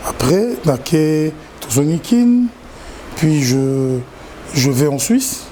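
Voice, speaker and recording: A Congolese man with a Congolese French accent, talking as an interview guest in a slightly raised register. His tone is warm and mellow, and he speaks haltingly, often repeating words before going on. A faint hiss sits under his voice. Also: on the high side, sing-song. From Democratic Republic of the Congo. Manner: halting, repeats words, slow measured delivery. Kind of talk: casual interview chat.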